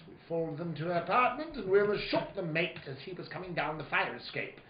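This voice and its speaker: Pompous, swooping radio-noir villain. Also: male voice, sits high. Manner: florid, courteous, theatrical drawn-out phrasing. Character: jovial, crafty, pompous older villain obsessed with a treasure. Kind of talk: old-time radio noir acting. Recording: roomy, dull audio with faint noise.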